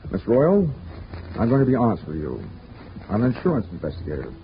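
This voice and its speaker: A man's voice: medium pitch, wildly swooping pitch, a little fast, a little hiss or hum. An actor playing a hard-boiled male insurance investigator who narrates his own case, with a dry, world-weary, wisecracking manner in a 1940s radio drama. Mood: pushy. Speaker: narrator and lead. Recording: muffled old radio recording with music.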